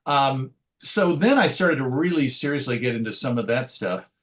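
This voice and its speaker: A man's voice: medium pitch, animated, normal speed, fairly bright tone. A middle-aged man reminiscing casually as an interview guest, hesitant and rambling, often interrupting himself to restart a thought. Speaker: interview guest, writer and radio show host.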